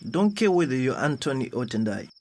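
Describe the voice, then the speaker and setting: A supportive male friend in a radio play, steady and sincere. He has a Zimbabwean accent and a medium pitch, with faint noise behind. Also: fairly quick. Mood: encouraging. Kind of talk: radio drama acting.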